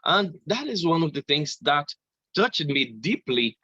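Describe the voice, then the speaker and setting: A man shares his faith story slowly and haltingly, with a bright, forward tone. His voice sounds close and dry but a little dull and lo-fi. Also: on the high side, sing-song, breathy edge, no echo. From Brazil. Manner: slow, halting, earnest, with frequent "you know". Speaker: conference speaker giving testimony. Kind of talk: personal faith testimony.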